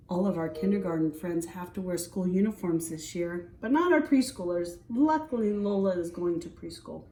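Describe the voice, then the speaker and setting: A woman's voice: on the low side, moderate pace, dark tone. A woman teacher reading a picture book aloud, chatting conversationally with young listeners. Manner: conversational, addresses child listeners. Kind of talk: picture-book storytelling with asides. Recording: roomy echo, distant from mic.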